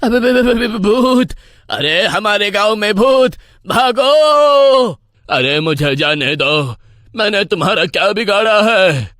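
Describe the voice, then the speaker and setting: A man's voice: high-pitched, animated, takes it slowly, a bit bright, no room sound, clean studio sound, exaggerated voice. A man playing a timid, anxious villager in a cartoon horror story, with a fretful, pleading manner. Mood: terrified. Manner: fretful, pleading, stammering with fright.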